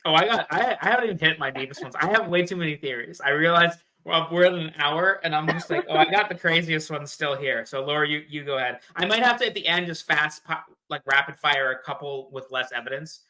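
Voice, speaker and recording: Male guest for casual fan banter, slightly high and very bright voice, emphatic delivery. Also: fast talker, few pauses, rough recording. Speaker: guest panelist.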